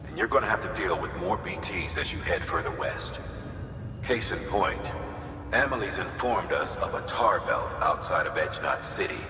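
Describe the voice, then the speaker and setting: A stern game commander briefing, low voice, big echoey hall with noise. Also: male voice, animated, over music. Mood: businesslike. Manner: measured, commanding, folksy turns of phrase. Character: authoritative male director briefing an operative, stern and folksy. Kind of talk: video game cutscene acting.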